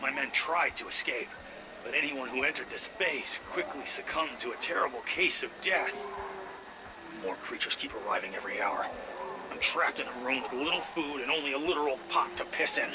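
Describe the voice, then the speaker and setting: A man's voice: mid-range voice, moderate pace, close to an everyday voice. Man playing an agitated stranded officer, noisy transmission. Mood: tense, defensive. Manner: agitated, bossy, theatrical. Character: stranded, self-excusing military officer, indignant and desperate. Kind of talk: video game radio log acting. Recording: noisy radio transmission log.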